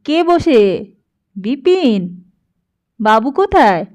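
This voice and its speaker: A woman's voice: on the high side, sing-song, drawn-out words, a little mellow, full character voice. A woman voicing a garrulous, nosy old male village priest in an audiobook, rambling familiarly from question to question. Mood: inquisitive. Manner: rambling, chatty, question after question. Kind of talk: dramatised audiobook dialogue.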